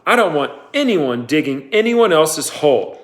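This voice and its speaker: A man reading aloud, voicing a stern, sarcastic woman who runs a camp, with cold, drawn-out questions. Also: sits low, very animated, voice pushed up. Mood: icy.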